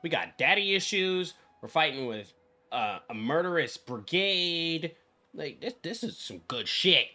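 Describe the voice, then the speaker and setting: A man streaming a video game with casual, blunt, excitable, foul-mouthed reaction commentary. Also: on the high side, measured pace. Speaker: gaming streamer.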